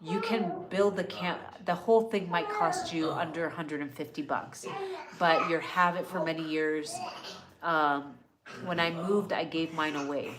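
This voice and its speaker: A woman's voice: low-pitched, steady tone, unhurried, noisy background. Chatty woman hosting a wellness live. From born in Canada, grew up in California. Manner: chatty, earnest, reads comments aloud. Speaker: wellness livestream host. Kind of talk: casual live Q&A chat.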